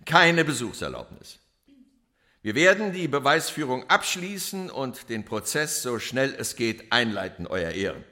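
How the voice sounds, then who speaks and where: A cold police inspector giving testimony in a crime radio play. His voice is bright and forceful, with lively rises and falls. He speaks formally and deliberately, leaning on each damning word with complete self-assurance. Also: medium pitch. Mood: brisk, authoritative. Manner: formal, emphatic, deliberate courtroom oratory. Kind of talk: German radio crime drama acting.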